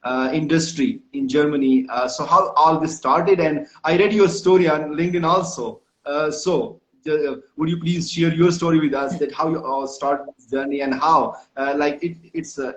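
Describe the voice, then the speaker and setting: A man's voice: on the high side, steady tone, moderate pace, few pauses, roomy sound, rough recording. A man hosting a live stream, greeting viewers one by one and hesitating often with fillers. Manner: hesitant, many fillers and restarts. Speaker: host. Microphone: phone or video call mic.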